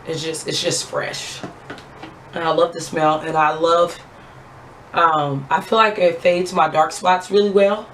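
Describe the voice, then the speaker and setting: Young woman w a raspy voice, chatty and heartfelt, slight hiss behind. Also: rough recording. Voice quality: raspy, low voice. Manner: chatty, heartfelt, thanks God often. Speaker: beauty vlogger. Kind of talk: casual chat while doing skincare.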